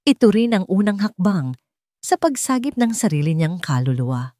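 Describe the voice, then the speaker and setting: A woman's voice: mid-range voice, sing-song, moderate pace, warm tone, dry recording. A woman with a Filipino accent reading a scripted dramatic story as an audiobook narrator.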